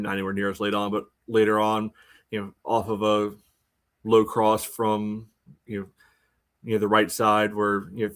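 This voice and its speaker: A man co-hosting a sports podcast, recapping play casually in halting, piecemeal phrases padded with "you know". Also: lowish voice.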